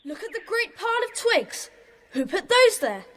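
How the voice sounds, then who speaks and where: A brisk, bossy schoolboy leader in a children's audio play. The voice is high and swings freely in pitch, with a long reverberant ring around it. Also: female voice, sing-song, fairly bright tone, full character voice. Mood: commanding, wary. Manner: commanding, brisk. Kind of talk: children's audio drama acting.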